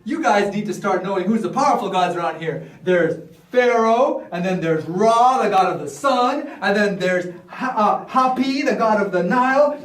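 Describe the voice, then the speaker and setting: Casting: male storyteller as boastful Egyptian court magician, high-pitched, animated, chatty modern phrasing, heard in a roomy echo. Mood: smug, boastful. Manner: animated, conversational, acting out the other characters. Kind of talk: live in-character Bible storytelling, casual modern speech. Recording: echoey room, far from the mic.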